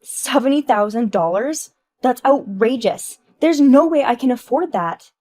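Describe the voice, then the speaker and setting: A woman plays a shrill, entitled young wife who whines and protests at every turn. Her voice rises high and loud, with a dry close sound and no room around it. It stays indignant and childish. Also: expressive, measured pace, no room sound. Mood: indignant whining.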